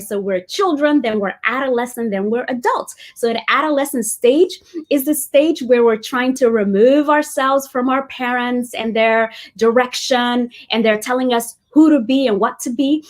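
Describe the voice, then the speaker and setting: A young woman with a Somali-American accent that has a Kenyan tinge, and her voice sits a little high. She hosts a reflective talk show and speaks earnestly and thoughtfully. She often stops mid-phrase to restart and correct herself. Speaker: host.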